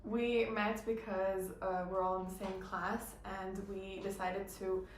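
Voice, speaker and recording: A young woman from Turkey speaks in a flat, even tone, pausing on fillers and restarting her phrases as she works through her thoughts. She is a design student chatting in a group. The mic sits at a distance in a roomy, echoing space. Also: mid-range voice, slight background noise. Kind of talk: casual group interview. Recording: echoey room, distant mic.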